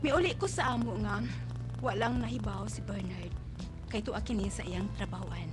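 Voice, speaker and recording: A woman's voice: mid-range voice, normal speed, natural voice. A woman playing a distraught, defiant young pregnant daughter in a melodramatic radio drama, pleading tearfully and emphatically. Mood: sorrowful narrating. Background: dramatic music.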